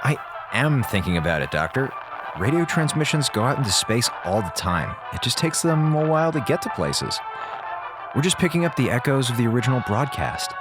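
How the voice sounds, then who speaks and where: A man's voice: medium pitch, sing-song, a little fast, over music, close to an everyday voice, rough recording. An actor playing a laid-back, wisecracking male communications officer in a space audio drama, talking colloquially and hesitantly. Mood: skeptical.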